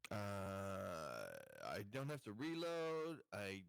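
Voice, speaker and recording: A man chatting casually as a player in a tabletop game, halting and joking in his delivery. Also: on the low side, big pitch swings, clear, resonant tone, dry recording. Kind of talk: casual chat.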